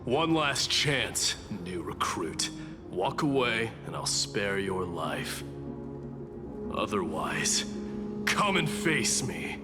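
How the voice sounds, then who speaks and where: An actor playing a proud, stern warrior prince who speaks in a menacing, clipped way. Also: male voice, fairly low pitch, very animated, slow. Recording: game music behind.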